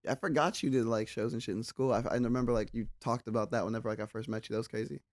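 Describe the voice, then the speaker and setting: A young man talks fast on a podcast, packing his speech with repeated fillers. His pitch sits in the middle, and his tone stays casual and conversational. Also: fairly even intonation. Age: young adult. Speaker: podcast guest. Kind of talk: casual chat.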